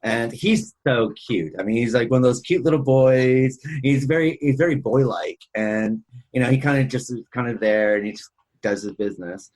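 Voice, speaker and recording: A man's voice: medium pitch, normal speed, darkish tone. A man hosting a podcast in a casual, conversational discussion style. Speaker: podcast host.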